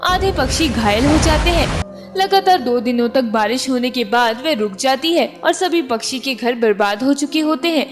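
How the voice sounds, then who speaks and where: A woman's voice: high-pitched, expressive, moderate pace. A woman reading scripted narration for a children's moral story. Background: music.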